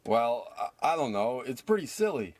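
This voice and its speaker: A man's voice: mid-range voice, sing-song, moderate pace, darkish tone, no echo. A man playing a hapless small-time crook, a self-pitying tough guy, with melodramatic wisecracks in a parody of old radio drama. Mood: earnest.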